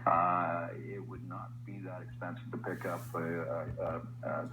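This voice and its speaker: Male interview guest, deep and halting, heard through a noisy radio in an echoey room. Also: little pitch movement. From Canada. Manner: hesitant, halting delivery. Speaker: interview guest, realtor association representative.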